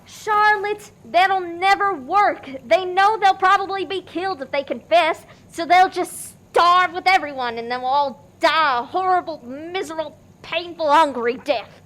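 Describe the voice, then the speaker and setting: A woman's voice: very high voice, measured pace, fairly bright tone. A woman playing a despairing, nihilistic young woman in a farcical radio play, with gloomy melodrama. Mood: grim. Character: despairing, doom-laden young woman named Joy, bleakly nihilistic. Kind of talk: stage-style farce radio play acting.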